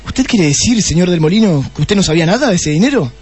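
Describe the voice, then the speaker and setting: A man's voice: sits high, expressive, quick pace, darkish tone, soft background music. A man playing an impatient, brooding police detective in a comic radio play, exasperated and bossy, with an Argentine accent. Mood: questioning.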